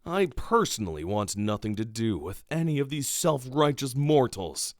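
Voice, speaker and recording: An actor playing an aloof, sardonic god in a fantasy audio drama, with a dry, disdainful way of talking. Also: male voice, medium pitch, big pitch swings, moderate pace, dry recording, natural voice. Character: aloof, disdainful male deity, dryly sardonic.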